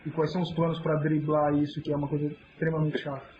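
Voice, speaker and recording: A man's voice: fairly high pitch, monotone, fast talker, darkish tone, muffled recording, slight background noise. A man from Santa Catarina, Brazil, hosting a podcast and asking long, friendly, rambling questions. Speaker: podcast host. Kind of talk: interview questions.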